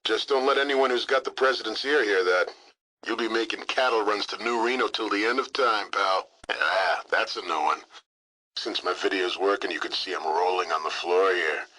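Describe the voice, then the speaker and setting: An actor playing an irritable, foul-mouthed military communications operator in a video game, snide and wisecracking. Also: male voice, on the low side, normal speed, sharp tone, rough recording. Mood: snide, wry.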